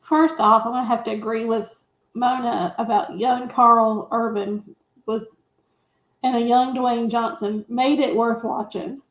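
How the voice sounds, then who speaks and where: In a noticeably echoey room, a woman chats about films as a co-host. Her voice sits fairly high, and her phrasing is informal and folksy. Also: fairly even intonation, a little slow. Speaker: movie podcast co-host. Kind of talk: casual movie discussion.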